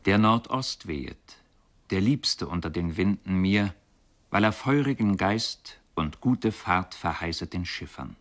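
Low-voiced man reciting literary radio prose. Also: expressive, unhurried, fairly bright tone. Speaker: radio feature speaker. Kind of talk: literary narration and poetry recitation.

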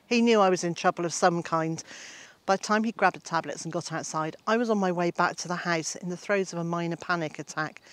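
An older woman with a fairly low voice reads a passage from her own gardening book. She tells it with lively storytelling emphasis, recorded outdoors in a shady garden facing the camera. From Britain. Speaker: gardening author and homestead host. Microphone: camera-facing, mid-shot.

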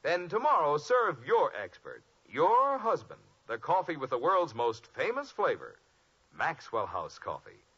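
A man's voice: a little high, huge pitch swings, a little slow, a bit bright, husky. An American man announcing a 1950s radio commercial in an emphatic, persuasive style. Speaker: announcer reading sponsor advertisement. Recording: dull vintage radio recording.

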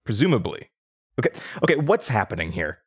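Nervous, halting young man, played by an actor. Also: medium pitch, very animated, slightly rough. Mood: uneasy, defensive. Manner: halting, stammering, hesitant. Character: nervous, confused young man under interrogation. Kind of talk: audio drama acting, naturalistic.

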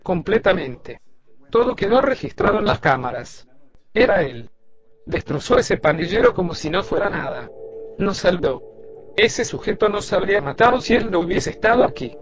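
Guy playing an earnest witness in a crime animation, high voice, really up and down, emphatic. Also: big pitch swings, moderate pace. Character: earnest male shop witness. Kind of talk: scripted crime drama in a fan game animation.

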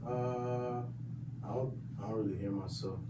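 A young man, a rapper in the studio, talking quietly and low-key between takes. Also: steady tone, measured pace, loud music behind the voice. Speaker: rapper recording vocals. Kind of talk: casual studio chat. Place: small home recording studio, indoors. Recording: roomy, distant.

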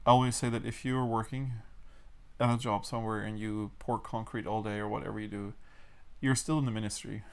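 A man in his forties from Mexico with a Mennonite Low German accent, Low German his first language, talking hesitantly as a guest in a casual interview with many fillers and restarts. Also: steady tone, fairly quick, vocal fry, clear, resonant tone. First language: Plautdietsch. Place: small wood-paneled room indoors.

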